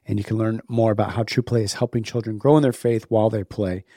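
A man with a hoarse, worn voice from being sick, casually opening a podcast and reading sponsor messages. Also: on the low side, steady tone, fast, warm tone, no echo, clean studio sound. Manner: upbeat, chatty, laughs at himself. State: hoarse, sick, losing his voice. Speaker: podcast host.

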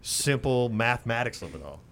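A man's voice: animated, normal speed, a bit bright. A middle-aged American man, a radio host, talking candidly and emphatically in an interview and repeating short phrases for punch. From raised in Singapore, later moved to the United States. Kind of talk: candid, conversational interview talk with storytelling. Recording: rumbly noise behind the voice.

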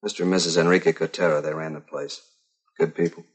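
A plainspoken policeman with a low, husky voice. He talks fast and flat, reporting grimly and tersely in the manner of a 1950s crime drama. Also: heavily acted. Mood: somber. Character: grim, plainspoken male policeman reporting a double killing.

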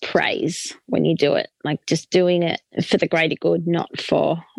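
Aussie woman guest talking earnestly, kinda low voice, dry close mic, says like and you know a lot. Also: little pitch movement, a little slow, creaky, dry recording, poor audio. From Australia. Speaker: author and guest. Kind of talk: podcast interview answers.

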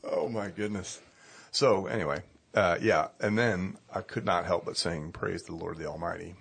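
A middle-aged man, a pastor, chatting casually in a hoarse voice and telling stories in an animated way. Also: low voice, moderate pace. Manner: chatty, animated storytelling with laughs. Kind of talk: casual chat.

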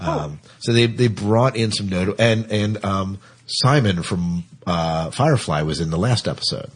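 A man hosts a casual podcast in a fairly low, husky voice. He talks conversationally, often doubling back on words as he searches for the next one. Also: expressive, normal speed. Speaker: podcast host; teacher. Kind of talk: casual co-hosted podcast chat.

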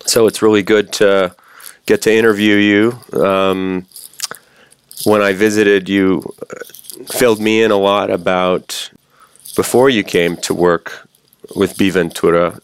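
A man hosting a podcast, reading the intro and a sponsor ad clearly and steadily, then asking his guest questions. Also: lowish voice. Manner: clear, steady read; spells out web addresses. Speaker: podcast host. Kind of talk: podcast intro, ad read and interview.

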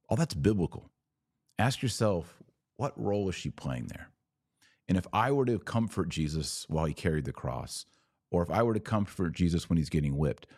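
Low voiced guy doing a religious podcast, chatty but earnest explaining, really dry sound no room. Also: a little mellow, slightly rough, no echo. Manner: conversational, earnest explaining with rhetorical questions. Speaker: podcast host. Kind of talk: casual religious talk answering viewer comments.

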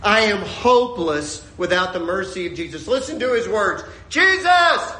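A man preaching a sermon, his voice swinging from shouted peaks to a hushed storytelling tone. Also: sits high, big pitch swings, normal speed, reverberant, slight background noise. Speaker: pastor.

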